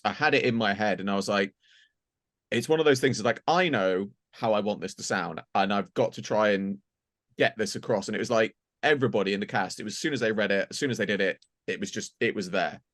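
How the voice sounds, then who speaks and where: A man's voice: lowish voice, fairly bright tone, no echo, clean studio sound. A man chatting casually in a group as an audio drama creator, rambling and acting out little quoted asides as he tells stories. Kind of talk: casual group chat, behind-the-scenes reminiscing.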